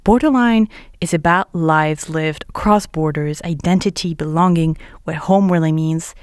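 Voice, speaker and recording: A woman's voice: low-pitched, normal speed, darkish tone, clear, resonant tone, no echo, studio quality. A woman who is a non-native English speaker, hosting and interviewing on a podcast in a conversational way. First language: not English.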